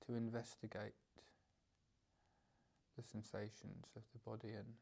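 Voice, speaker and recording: A man teaches a guided meditation in a dark, relaxed voice with plenty of creak. He feels his way through the phrases unscripted, leaving gentle pauses between them. The pitch sits in a middling male register and stays fairly even.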